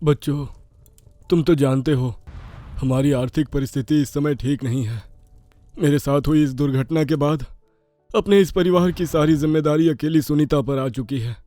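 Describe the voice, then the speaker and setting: A man playing a gentle, sorrowful father of a poor family in a cartoon moral story. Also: on the high side, moderate pace, no echo, faint music behind, close to an everyday voice. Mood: sad, regretful.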